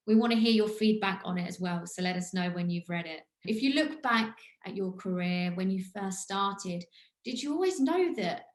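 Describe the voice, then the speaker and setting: A woman's voice: medium pitch, expressive, moderate pace. A woman hosting an interview, asking questions in an upbeat, encouraging way. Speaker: interview host. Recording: echoey room.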